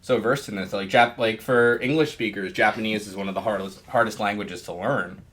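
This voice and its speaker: A rather low-voiced man hosting a casual podcast interview. He rambles loosely, filling his thoughts with "like" and "you know" while he talks it through. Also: fairly quick, fairly bright tone.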